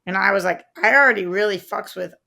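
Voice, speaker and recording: A woman's voice: medium pitch, fast talker, creaky, pushed and bright. A woman co-hosting a casual pop culture chat, speaking in a colloquial, slangy, conversational way. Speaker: podcast co-host.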